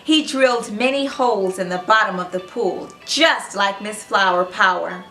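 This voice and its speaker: A woman hosting a children's read-aloud, narrating in an animated, expressive storybook style and speaking directly to young listeners. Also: mid-range voice, normal speed, roomy sound, soft background music, rough recording.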